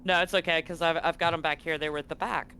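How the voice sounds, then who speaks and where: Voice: mid-range voice, fairly even intonation, quick pace, pushed and bright. A game master speaking informally and explaining rules and situations in a casual tabletop role-playing session. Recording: clearly noisy background.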